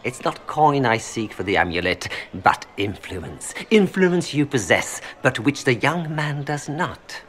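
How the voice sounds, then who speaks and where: An actor playing a suave, calculating merchant in a video game, speaking formally with a French accent. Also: male voice, medium pitch, very animated, measured pace, hardly any pauses, fairly bright tone. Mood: smooth bargaining. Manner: courtly, formal, smoothly unapologetic. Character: suave, calculating Orlesian merchant seeking noble influence. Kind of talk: video game dialogue acting.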